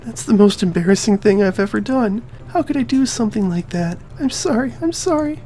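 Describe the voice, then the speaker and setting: Man playing a bashful teen girl, video game character, raised pitch, hesitant stammer, apologetic, soft-spoken, faint background noise. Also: expressive, fairly quick. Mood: flustered, apologetic.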